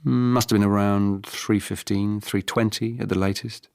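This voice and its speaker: A man's voice: on the low side, fairly even intonation, unhurried, creaky, darkish tone, no echo, studio quality, pitched above the performer's own voice. A man voicing a guarded, nervous male character in a novel reading, hesitant and careful with his words.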